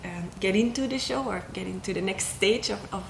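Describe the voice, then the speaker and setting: A woman's voice: medium pitch, brisk, creaky, reverberant, noisy background. A young woman from Belgium, a circus artist, talking casually about her work, hesitant with many ums and restarts. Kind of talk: casual interview talk.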